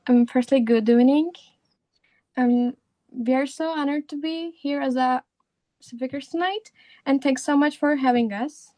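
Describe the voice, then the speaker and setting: A woman's voice: high voice, a little slow, warm tone, no echo, low-quality recording. A sixteen-year-old girl from Turkey, a non-native English speaker, presenting a school talk over an online call with many hesitations and fillers. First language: Turkish. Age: teens. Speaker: student speaker. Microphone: remote call microphone.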